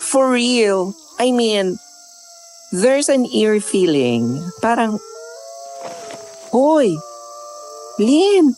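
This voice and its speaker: A woman's voice: a little high, big pitch swings, very slow, long pauses, a little mellow, soft background music, heavily acted, low-quality recording. A woman playing a spooked young hiker in a horror audio drama, mixing English and Tagalog.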